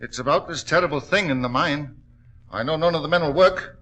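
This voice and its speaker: An actor playing a humble, deferential miner, respectful and hesitant, in a 1940s radio drama. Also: male voice, a little high, a little fast, a bit bright. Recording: old-time radio drama recording.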